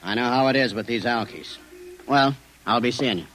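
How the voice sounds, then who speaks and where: A man's voice: mid-range voice, moderate pace, a bit bright, soft background music, lightly acted. An actor playing a folksy, dismissive city policeman in a 1950s radio drama, breezy and slangy in manner. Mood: brisk, dismissive. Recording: noisy 1950s radio broadcast recording.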